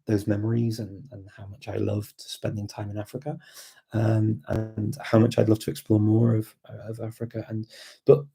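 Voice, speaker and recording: A man talks casually to a livestream, in a very mellow, dark voice with some gravel in it. He is reflective and unhurried in his thinking, circling back on words as he works out what he means. His pitch sits a little on the low side. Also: monotone. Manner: hesitant, reflective, repeats words while thinking. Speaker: livestream host and language learner. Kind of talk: casual live chat, thinking aloud.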